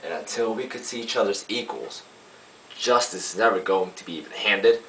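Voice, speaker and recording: A man playing a young, earnest defense lawyer, pleading to a jury in an emphatic, oratorical monologue with deliberate pauses. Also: on the low side, fairly bright tone. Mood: impassioned. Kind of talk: dramatic courtroom monologue. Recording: roomy, distant mic, faint hiss.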